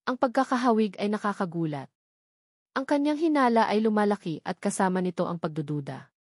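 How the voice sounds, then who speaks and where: A woman narrates a scripted, dramatic story. Her pitch sits in the middle of the female range, and the recording is dry, with no room sound around the voice. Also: lively intonation, brisk, dry recording.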